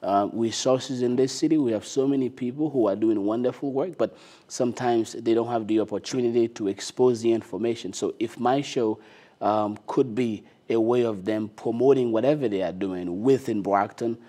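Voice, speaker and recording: A man's voice: medium pitch, normal speed, mellow. A young man whose first language is not English, speaking earnestly and eagerly as a guest in a studio interview on a lapel mic. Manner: earnest, eager, many short affirmations. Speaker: guest, city councilor. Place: TV studio with dark curtains.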